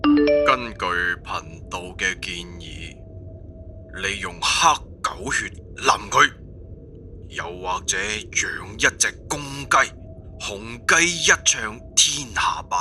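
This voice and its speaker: A man's voice: fairly low pitch, big pitch swings, slow, pushed and bright, soft background music, full character voice. A man playing a solemn online poster who gives oracular folk exorcism advice in a Cantonese accent, in an audio drama.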